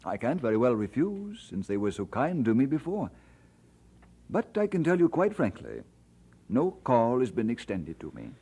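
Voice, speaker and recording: An actor playing an earnest, humble pastor, speaking politely and sincerely in an old-time radio drama style. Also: male voice, mid-range voice, huge pitch swings, moderate pace, dark tone, breathy edge, heavily acted. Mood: courteous, frank. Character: earnest, humble, courteous male pastor, newly married. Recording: noisy vintage radio transcription.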